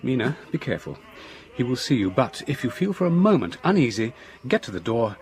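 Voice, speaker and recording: An actor playing a gentlemanly, protective asylum doctor with polite, measured phrasing in an audio drama. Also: male voice, medium pitch, very animated, natural voice. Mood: cautious, protective. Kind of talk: British radio drama acting.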